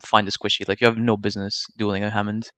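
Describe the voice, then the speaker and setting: A man's voice: lowish voice, flat delivery, fast, fairly bright tone, no echo. A nineteen-year-old man from Serbia, chatting casually as an interviewed gamer, slangy and opinionated as he explains at length. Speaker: gamer and streamer being interviewed.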